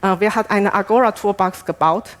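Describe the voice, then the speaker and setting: A woman researcher presents at a conference with a Taiwanese accent, a native Chinese speaker working in a second language. Her delivery is halting and hesitant, feeling its way from phrase to phrase. Her voice sits at an average pitch for a woman.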